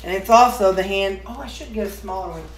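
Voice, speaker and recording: A woman's voice: medium pitch, fast, background noise. A woman vlogging as a home cook, chatting casually in a rambling, folksy way while she demonstrates in her kitchen. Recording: roomy, echoey kitchen sound.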